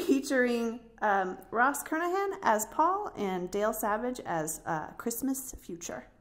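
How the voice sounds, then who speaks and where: A woman's voice: fairly high pitch, very animated, takes it slowly. A woman hosting a podcast, chatting casually as she introduces and discusses items. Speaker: podcast host. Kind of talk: casual chat, introducing plays.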